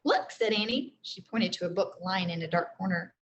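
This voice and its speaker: A woman's voice: fairly low pitch, fairly even intonation, normal speed, a bit bright, muffled recording. Female teacher reading aloud over background noise. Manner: explains simply, with frequent check-in questions. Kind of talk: read-aloud storytelling for children.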